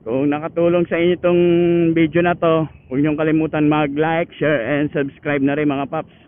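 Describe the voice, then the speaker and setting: A man's voice: fairly high pitch, little pitch movement, normal speed, narrow, tinny audio. A Filipino man giving a casual, chatty product demo in vlog style, mixing in English words. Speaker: vlogger reviewing motorcycle gear.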